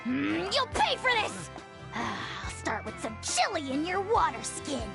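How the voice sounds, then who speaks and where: A tiny, pompous dragon lord, shrill and very high, swinging wildly in pitch as it blusters. It is haughty and hurls petty insults in a game scene. Music plays behind it. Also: female voice, wildly swooping pitch, slow, vocal fry, heavily acted. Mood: indignant. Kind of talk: game voice acting.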